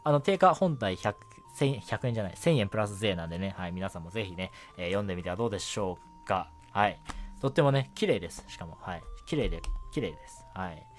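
Young man chatting with very animated pitch. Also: fast. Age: young adult. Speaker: radio show host. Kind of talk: casual solo radio chat. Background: background music.

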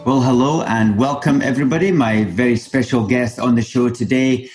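A man's voice: medium pitch, normal speed, a little mellow, soft background music, low-quality recording. A man hosting a podcast interview, welcoming his guest and talking emphatically. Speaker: podcast host.

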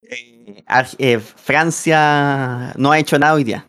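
A man's voice: mid-range voice, slow pace, a bit bright, smooth. A man talking casually as a football commentator during a live match. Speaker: football commentator on a group broadcast.

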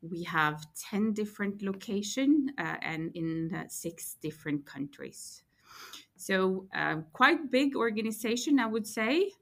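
A woman's voice: medium pitch, lively intonation, unhurried, fairly bright tone. A woman in her forties with a Norwegian accent, explaining her work as an interview guest and repeating words as she thinks. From Norway. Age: 40s. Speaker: guest, head of core banking. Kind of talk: interview answers.

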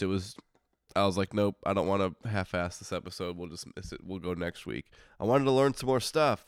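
A man's voice: fairly low pitch, clear, resonant tone, dry recording, clean studio sound. A man with a stuffy, congested nose, hosting a casual solo podcast in an informal, grumbling, self-interrupting way. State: congested sinuses. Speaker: podcast host.

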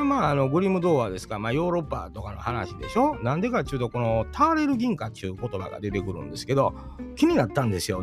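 Chatty Kansai man over guitar music. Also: mid-range voice. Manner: chatty, rambling, lively. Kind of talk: casual monologue.

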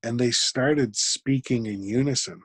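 A man, a guest in an interview, talking reflectively and haltingly, with frequent restarts. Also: mid-range voice, a bit bright, slightly rough, no room sound. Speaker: podcast guest. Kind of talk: conversational interview.

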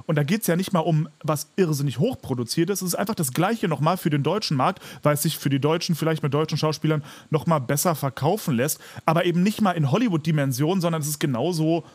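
Man, slightly high voice, husky, hyperbolic ranting, casual podcast conversation. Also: fast talker, hardly any pauses. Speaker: podcast co-host. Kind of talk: casual opinionated podcast chat.

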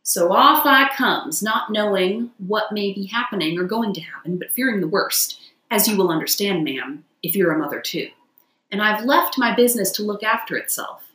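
A woman's voice: mid-range voice, lively intonation, moderate pace, bright, forceful tone. Female reader as sly toad in washerwoman guise, self-important, chatty, ingratiating, storybook character, roomy echo. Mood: plaintive, ingratiating. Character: boastful male toad posing as a humble washerwoman.

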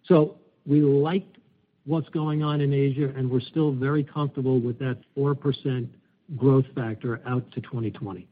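A man's voice: mid-range voice, slightly rough. A man, a finance executive, explaining business results on an earnings call. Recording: thin, tinny phone line.

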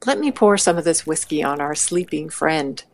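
A woman's voice: low-pitched, expressive, moderate pace, slight background noise. A woman playing a bold, practical, scheming partner in crime in an audio drama, talking in a conversational, conspiratorial way. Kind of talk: amateur audio drama dialogue.

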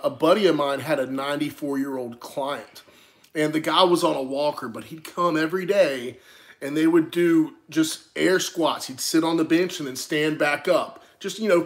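A young man speaks earnestly in a casual chat, often repeating a word as he gets going. His voice is a touch higher than most men's. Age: young adult. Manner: earnest, repeats words when starting. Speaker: podcast co-host.

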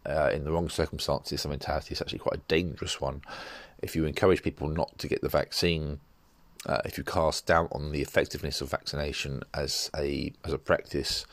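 A middle-aged man hosting a solo podcast, talking in a measured, rambling way and often correcting himself, his voice nasal from a cold. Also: rumbling low, no echo. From United Kingdom. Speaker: podcast host.

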